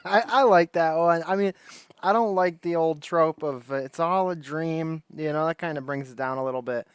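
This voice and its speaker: A man's voice: fairly high pitch, moderate pace, clean tone, no echo. Guy chatting on a livestream, laid-back slang, rambles a bit, clean dry audio. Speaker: livestream host. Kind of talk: casual livestream chat.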